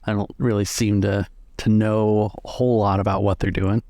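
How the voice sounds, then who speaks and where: A man plays an easygoing old hand of a trading ship in a tabletop adventure. His voice is on the low side, and he chats in a relaxed, folksy way. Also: moderate pace, no room sound, lightly acted. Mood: gossipy, candid. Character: Patty, easygoing veteran male sailor on a trading ship.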